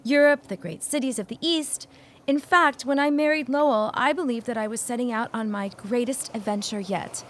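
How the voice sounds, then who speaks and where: An actress playing a refined young widow of 1916 in a period audio drama, speaking in genteel, formal, old-fashioned phrases. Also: female voice, a little high, normal speed, a bit bright, close to an everyday voice. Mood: wistful. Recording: clear background ambience noise.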